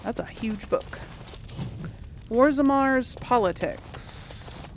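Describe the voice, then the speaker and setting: A woman's voice: fairly high pitch, very slow, a little hiss or hum. A woman hosting a gaming video with casual commentary, often muttering to herself hesitantly as she talks to viewers. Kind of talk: casual game commentary, talking to the audience.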